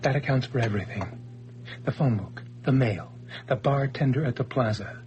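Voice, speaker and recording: A man's voice: medium pitch, normal speed, a little mellow, highs cut off, slight background noise, lightly acted. An actor playing a cold, vengeful man who holds an old friend at gunpoint in a radio play, speaking in clipped, menacing lines. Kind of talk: 1980s radio drama acting.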